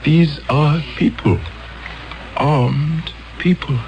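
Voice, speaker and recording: A man's voice: a little high, dull audio, over music. An actor playing a grave male elder of a peaceful alien world in a 1970s radio drama. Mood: solemn. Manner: solemn, measured.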